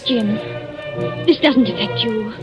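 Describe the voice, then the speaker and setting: An actress playing an anguished, proud wife with earnest, clipped delivery in a 1940s radio thriller. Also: female voice, fairly high pitch, slow, over loud music. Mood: pleading, restrained. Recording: vintage radio recording.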